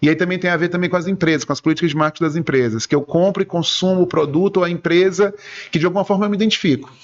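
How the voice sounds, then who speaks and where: A man's voice: sits high, very fast, muffled recording. A man who works in advertising and entertainment, talking earnestly and at length in a radio interview, often restarting his sentences. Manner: earnest, explanatory, rambling with restarts. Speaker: guest, advertising and entertainment businessman.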